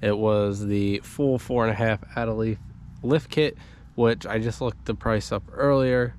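A young man with a stuffy nose from a cold talks casually into an action camera, his voice fairly low. He rambles in a relaxed way with plenty of ums and likes. A faint outdoor hiss sits under the recording. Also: a little slow. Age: young adult. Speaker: vlogger. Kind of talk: casual vlog walkthrough. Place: inside a parked vehicle, then outdoors beside it.

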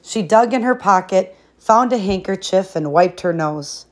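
A woman reading a fairy tale aloud as a storybook narrator, in an expressive style. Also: mid-range voice, measured pace.

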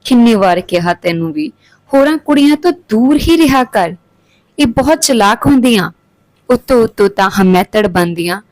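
A woman's voice: fairly high pitch, expressive, moderate pace, a little mellow, no room sound, lightly acted, poor audio. A woman voicing a stern mother in an audio story, admonishing her younger daughter.

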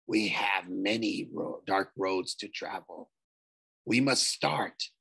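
A man reading an audiobook, voicing a sly, menacing male ghost in a low, hushed, breathy voice, slow and persuasive. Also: full character voice. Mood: pleased. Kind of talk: audiobook character voice.

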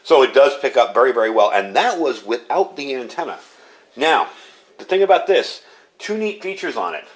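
American guy, middle-aged, mid-pitched voice, chatting through a product demo off the cuff. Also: big pitch swings, moderate pace. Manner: chatty, explanatory, conversational. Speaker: product reviewer. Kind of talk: casual unscripted product demonstration. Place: indoor room, at a tabletop.

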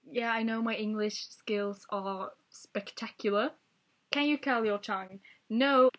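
A woman's voice: on the high side, a bit bright. A young woman whose first language is Swedish, chatting playfully in her bedroom into a webcam and laughing often. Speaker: vlogger. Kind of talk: casual tag video chat. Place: small bedroom, indoors. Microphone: webcam at desk.

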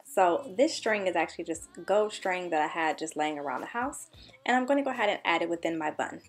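A woman's voice: lowish voice, expressive, a little fast. Upbeat young woman, tutorial voice-over. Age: young adult. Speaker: beauty vlogger, host.

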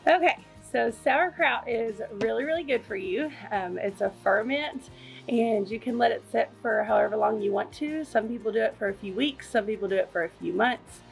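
Young woman, kentucky accent, heavy vocal fry, cheerful step-by-step kitchen talk, soft music behind. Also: on the high side, moderate pace. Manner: chatty, upbeat, explains step by step. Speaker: homestead vlogger. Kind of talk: casual kitchen how-to. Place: home kitchen, indoors. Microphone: camera on the counter.

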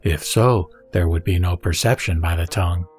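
A man reading a Buddhist sutra as a scripted narration, in a measured, formal, didactic manner. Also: low voice, a little mellow, breathy edge. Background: background music.

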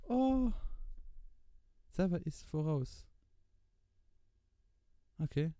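A man with a Luxembourgish accent giving casual gameplay commentary, excitable and repeating words in quick bursts. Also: fairly high pitch, clean tone, dry recording, studio quality. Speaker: gamer commentating own play.

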